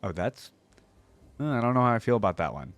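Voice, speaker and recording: A man's voice: on the low side, sing-song, a little fast, a little hiss or hum. A man hosting a reaction video, giving casual, enthusiastic, informal commentary.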